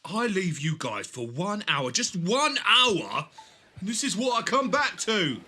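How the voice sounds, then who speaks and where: A man's voice: high-pitched, very animated, measured pace, sharp tone, faint music behind, full character voice. An actor playing a blunt, easily exasperated male companion in a fan audio drama. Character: exasperated, plain-spoken male companion of the hero.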